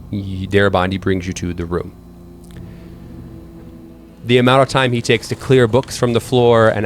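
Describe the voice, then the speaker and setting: Low voice guy game mastering, halting improvised storytelling, music underneath. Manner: halting, hesitant, measured storytelling. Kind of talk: improvised tabletop game narration.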